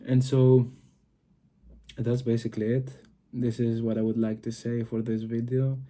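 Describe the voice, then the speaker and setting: A man's voice: medium pitch, little pitch movement, a little slow, warm tone, a little hiss or hum. A man from Greece with a Greek accent, talking to camera in a reflective solo video and often searching for words. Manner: searching for words, frequent fillers and restarts. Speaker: video host.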